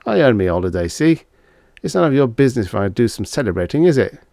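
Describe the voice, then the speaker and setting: A man's voice: mid-range voice, sing-song, quick pace, creaky, mellow, dry recording, studio quality, voice pushed up. A man voicing a tipsy, jovial, brash gambler in an audiobook, with slangy, folksy bravado. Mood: defensive, tipsy. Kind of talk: audiobook character voice.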